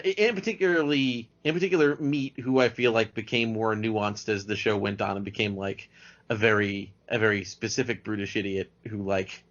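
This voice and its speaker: A man talking casually as a podcast guest, halting and repeating words as he goes. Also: fairly low pitch, fairly bright tone. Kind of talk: casual chat. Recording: dull lo-fi audio.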